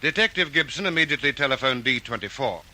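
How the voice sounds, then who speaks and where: A man acting as the brisk narrator of an Australian radio police serial, with an Australian accent. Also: medium pitch, animated, pushed and bright. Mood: crisp, factual. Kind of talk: scripted narration, old radio detective serial.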